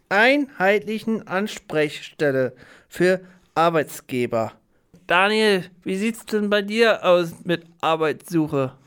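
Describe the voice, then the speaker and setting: A man co-hosting a podcast, putting prepared questions and speaking in simple, deliberate phrases. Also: high voice, clear, resonant tone. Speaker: podcast co-host.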